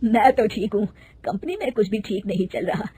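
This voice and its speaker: A fatherly old accountant in a cartoon story, as a woman voices him. He speaks slowly and loudly, with a husky edge. He sounds like an earnest well-wisher. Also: medium pitch, expressive. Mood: worried. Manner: slow, fatherly, loud. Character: kindly old male factory accountant.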